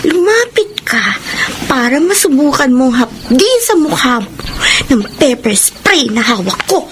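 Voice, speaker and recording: A feisty young Filipino woman in a radio drama. She is wary and quick to bristle, with a very high, animated voice over faint background noise. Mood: defiant, wary. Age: young adult. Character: feisty, wary young female college student.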